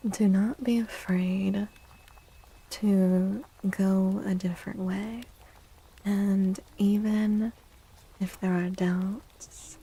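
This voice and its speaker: A woman speaking softly and soothingly in a whispery, breathy voice, as an ASMR livestream host. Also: mid-range voice, low-quality recording. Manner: gentle, soothing, unhurried. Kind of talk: soft-spoken ASMR, tarot reading and chatting with viewers.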